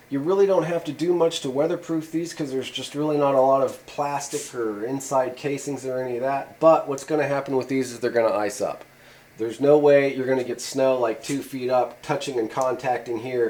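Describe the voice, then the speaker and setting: A man's voice: mid-range voice, darkish tone. A man giving a casual, rambling how-to demonstration at a workshop bench, with many "uh" and "you know" fillers. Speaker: channel host showing radio antennas.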